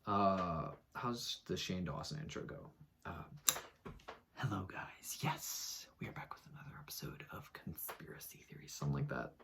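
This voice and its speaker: A man's voice: expressive, normal speed, gravelly, whispered. Man doing a mock-dramatic reading. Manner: theatrical, swings between booming and muttered. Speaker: YouTuber reading an article.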